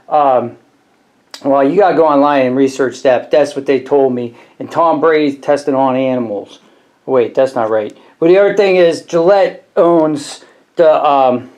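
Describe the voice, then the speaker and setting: A man's voice: on the high side, darkish tone. Rambling Pittsburgh man ranting. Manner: rambling, folksy, grumbling slang. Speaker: vlogger doing product review. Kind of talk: comic rant to camera.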